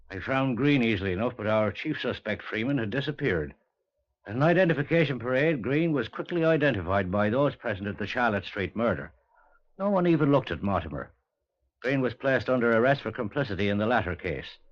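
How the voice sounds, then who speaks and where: An actor playing a businesslike police officer giving a formal, matter-of-fact report in a 1950s radio drama. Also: male voice, mid-range voice, close to an everyday voice. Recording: muffled old radio recording.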